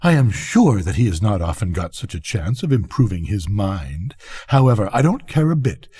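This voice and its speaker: Storybook rocket, acted by a man: pompous, conceited, lively intonation, rough-edged voice. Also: fairly low pitch, normal speed. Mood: self-satisfied. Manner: haughty, self-important declaiming. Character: pompous, conceited male firework rocket, haughty and vain. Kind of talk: storybook character reading.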